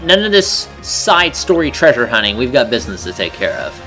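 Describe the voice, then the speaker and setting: Man in casual game commentary, mid pitch. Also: sing-song, brisk, sharp tone, rough recording. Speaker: co-commentator on a video game playthrough. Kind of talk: casual chat. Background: game music.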